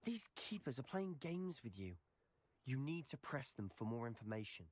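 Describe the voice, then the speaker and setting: A man acts out a teenage student wizard for a video game, determined and a little reckless. He speaks earnestly and presses his point with persuasive insistence, in a mid-pitched voice. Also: very animated, moderate pace, a little mellow, close to an everyday voice. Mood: frustrated, urgent. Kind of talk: scripted video game dialogue.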